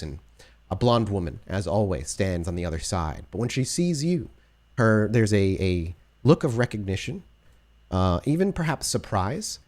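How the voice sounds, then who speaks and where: Low-voiced man narrating a tabletop game. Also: a little mellow. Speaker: storyteller, game master.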